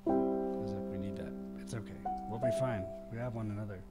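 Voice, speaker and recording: A man hosting a community radio show, reading poems aloud with theatrical swells and slipping into quiet, murmured asides between them. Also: lowish voice, steady tone, a little fast. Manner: theatrical, swells loud on key lines, then drops to quiet murmured asides. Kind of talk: dramatic poetry reading with chatty asides. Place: small community radio studio. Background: soft music underneath.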